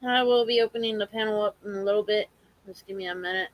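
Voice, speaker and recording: A woman with a hoarse voice from allergies, talking casually and haltingly to her viewers on a home live stream. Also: mid-range voice, steady tone, dry recording, poor audio. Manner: halting, repetitive, earnest.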